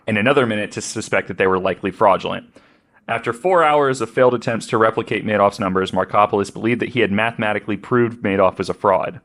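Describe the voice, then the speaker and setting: A man's voice: lowish voice, fairly bright tone. A man hosting a podcast, reading researched notes aloud in an informal, conversational way. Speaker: podcast host.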